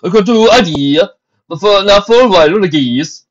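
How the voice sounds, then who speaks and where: A woman acts out a boastful, easygoing horse soldier from a fantasy story. The voice is loud and projected, lifted well up in pitch. The recording is dull and lo-fi. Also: lively intonation, normal speed, close to an everyday voice. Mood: casual.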